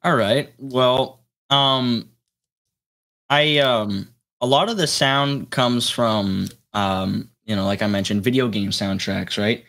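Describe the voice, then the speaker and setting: A young adult man chatting casually as an interview guest, with a halting, rambling delivery. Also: mid-range voice, fairly bright tone. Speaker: guest, solo electronic musician.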